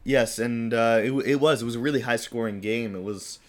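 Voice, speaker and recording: A young man from the United States co-hosting a podcast in casual chat, hoarse from a cold, chatty and often restarting his sentences. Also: medium pitch, a little fast. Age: young adult. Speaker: podcast co-host.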